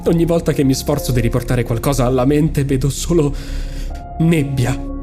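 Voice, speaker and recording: A man's voice: mid-range voice, normal speed, music in the background, lightly acted. A man playing an anguished amnesiac space pony in an Italian audio drama, theatrical, brooding and easily exasperated. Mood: brooding, haunted.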